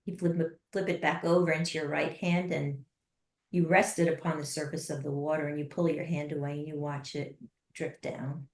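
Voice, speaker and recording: Low, steady-voiced woman guiding a meditation. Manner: slow, soft and unhurried with long pauses. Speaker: guided meditation leader. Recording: roomy echo.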